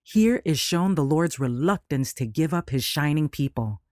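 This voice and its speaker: Higher-pitched man reading devotional lesson. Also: expressive, moderate pace, darkish tone, no echo, studio quality. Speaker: lesson reader.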